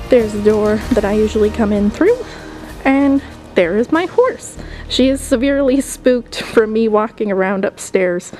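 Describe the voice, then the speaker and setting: A woman's voice: on the high side, low-quality recording. Chatty young woman touring a barn. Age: young adult. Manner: chatty, upbeat, pointing things out while walking. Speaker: vlogger giving a barn tour. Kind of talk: casual walking tour narration. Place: horse barn and snowy paddocks outdoors.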